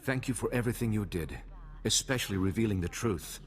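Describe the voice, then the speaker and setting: Principled diplomat in a sci-fi game, lively mid voice, reverby hall. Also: male voice, fairly low pitch, brisk. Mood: troubled, grateful. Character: earnest, principled male Republic diplomat, measured and troubled. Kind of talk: video game cutscene acting.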